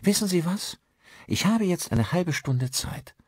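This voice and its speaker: A man's voice: high-pitched, animated, unhurried, slightly rough, no echo, clean studio sound, voice pushed up, exaggerated voice. A man voicing a friendly, married village pastor in an audiobook, courteous and talkative. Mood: friendly, helpful.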